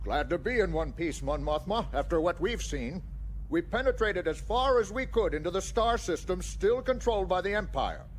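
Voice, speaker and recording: High-pitched, grave alien admiral. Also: male voice, lively intonation, moderate pace. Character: grave alien male admiral of a rebel fleet. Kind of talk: sci-fi audio drama acting. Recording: faint background noise.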